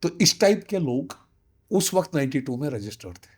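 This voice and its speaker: High-voiced, insistent older man. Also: very animated, normal speed, slightly rough. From Kashmir, India. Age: around 60. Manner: emphatic, insistent, uses rhetorical questions. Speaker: interviewee, community representative. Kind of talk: interview testimony mixing Hindi with English phrases.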